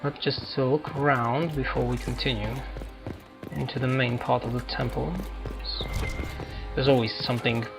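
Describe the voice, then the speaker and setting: A man doing casual let's-play commentary on a roleplaying video game, musing and haltingly thinking aloud. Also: mid-range voice, flat delivery, gravelly. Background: video game music.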